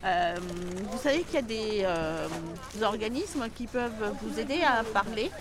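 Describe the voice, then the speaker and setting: A woman's voice: on the high side, expressive, normal speed. A woman interviewer asking questions and explaining things in a conversational style.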